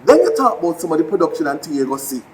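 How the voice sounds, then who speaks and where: Jamaican guy talking straight to someone he lost, heartfelt, kinda high voice, actor giving a tribute. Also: sing-song, racing, darkish tone, a little hiss or hum. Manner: heartfelt, addresses the late honoree directly. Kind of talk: spoken tribute monologue.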